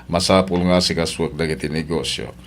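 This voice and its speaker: An actor playing a domineering, smooth-talking male lover who turns menacing, in a radio drama with an Ilocano accent. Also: rumbling low, steady tone, brisk, fairly bright tone, a little hiss or hum. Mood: smooth, indulgent.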